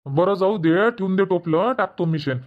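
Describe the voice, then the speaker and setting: A man's voice: high voice, moderate pace, dull audio, close to an everyday voice. A man voicing an evasive village farmer husband in a dubbed cartoon comedy, grumbling and bossy.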